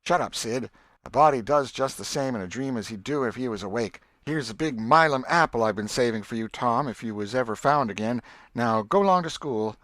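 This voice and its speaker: Man playing an excitable old Southern country aunt. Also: mid-range voice, fairly quick, no room sound, pitched above the performer's own voice, close to an everyday voice. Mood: scolding, pious. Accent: rural American South. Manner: exclamatory, folksy, emphatic. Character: excitable, pious, scolding old country aunt, gullible and affectionate. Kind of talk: audiobook character voice.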